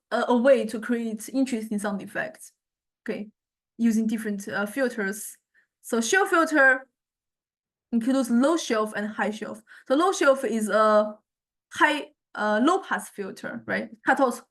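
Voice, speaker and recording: Higher-voiced woman lecturer, hesitant delivery. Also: animated, unhurried, a bit bright. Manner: hesitant, thinking aloud while demonstrating. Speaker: music technology lecturer. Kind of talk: informal software demonstration lecture.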